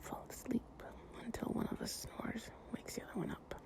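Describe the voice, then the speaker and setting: A woman speaking softly, often in a breathy whisper, in an intimate, affectionate and soothing improvised girlfriend ASMR roleplay. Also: brisk. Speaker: audio roleplay performer playing an affectionate girlfriend.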